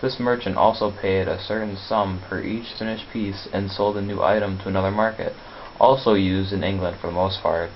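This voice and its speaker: A man narrates from a script in a fairly low, very even voice. He reads plainly and trips on words now and then. The recording is dull with faint hiss. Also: moderate pace. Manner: flat reading, stumbles over words.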